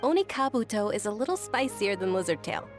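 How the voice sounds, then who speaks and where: An actress voicing a gentle, earnest young noblewoman in a video game, with a polite, softly enthusiastic manner. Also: mid-range voice, sing-song, a little fast, over music, close to an everyday voice. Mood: pleasant, explaining.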